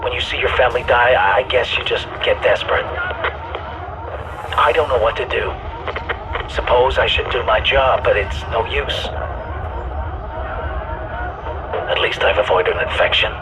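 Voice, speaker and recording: A man playing a weary ship's officer in a sci-fi game, giving measured, solemn, formal log reports aboard a doomed starship. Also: medium pitch, background noise, lightly acted. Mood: despairing.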